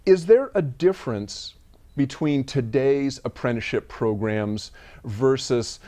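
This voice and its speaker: Male interviewer, middle-aged, mid-pitched, conversational, meandering question style. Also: animated, measured pace, dark tone. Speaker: interviewer, host.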